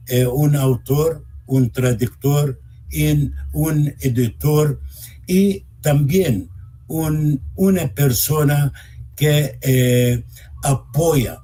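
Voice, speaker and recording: A man hosting an interview, speaking haltingly and searching for words as he puts his questions. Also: medium pitch, dark tone, a little hiss or hum. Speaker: interview host. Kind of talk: hesitant interview questioning in Spanish.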